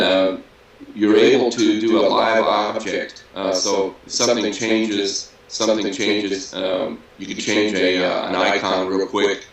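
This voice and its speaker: A man in his sixties with a bassy, raspy voice, talking casually and hesitantly with many fillers as an interview guest. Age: 60s. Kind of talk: casual interview over a video call. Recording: echoey audio captured from a PC.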